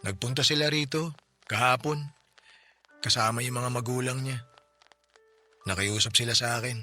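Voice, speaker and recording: A man's voice: mid-range voice, fairly even intonation, bright, forceful tone, faint music behind, lightly acted. A Filipino man voicing a poor widower who has just lost his family, weary and bitter in a radio drama. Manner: weary, bitter, speaks slowly when stressing words. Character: grieving poor widower, tricycle driver, bitter about justice. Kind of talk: Tagalog radio drama acting.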